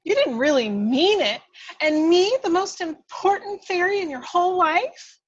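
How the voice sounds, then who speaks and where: A woman's voice: extremely high pitch, expressive, unhurried, lo-fi sound, pitched above the performer's own voice. A woman playing a tiny, feisty, bossy fairy with a scornful, theatrical delivery in a script read-through. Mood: pleading, demanding. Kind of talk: hobby table read acting.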